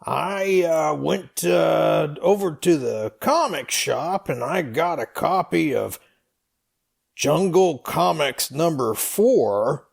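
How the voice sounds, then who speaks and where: Comedy skit: man playing a dim, folksy, hesitant rambler, heavy gravel, slightly raised pitch, unhurried delivery. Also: expressive, exaggerated voice. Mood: sheepish, rambling. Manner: hesitant, halting, meandering. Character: rambling slow-witted folksy comic storyteller El Dub. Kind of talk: comedy skit read as dialogue.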